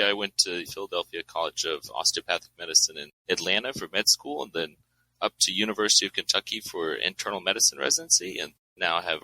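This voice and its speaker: A man's voice: deep voice, creaky, bright, forceful tone, dry recording, low-quality recording. A man in his thirties from Houston, a cardiology fellow, introducing himself in a friendly, forthcoming way. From Houston, Texas. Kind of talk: podcast introduction.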